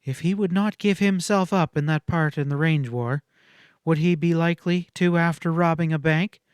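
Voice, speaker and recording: A man voicing a scornful, quick-tempered young frontier woman in a western audiobook, speaking in emphatic, cutting outbursts. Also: fairly high pitch, steady tone, smooth, no echo, clean studio sound, pitched above the performer's own voice, lightly acted.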